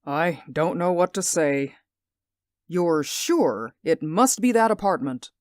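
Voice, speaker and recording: A man's voice: fairly high pitch, lively intonation, slow pace, no echo, clean studio sound. An actor playing an anxious, insistent man who keeps seeing something in a window, in a 1940s radio drama. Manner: earnest and insistent. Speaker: worried neighbor.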